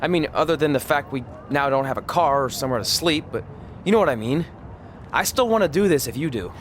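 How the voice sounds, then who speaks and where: An actor playing an earnest, stubborn young aspiring wrestler in a video game, with a boyish, pleading manner. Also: male voice, on the high side, lively intonation, fast, slight background noise, natural voice. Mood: earnest, apologetic. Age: young adult.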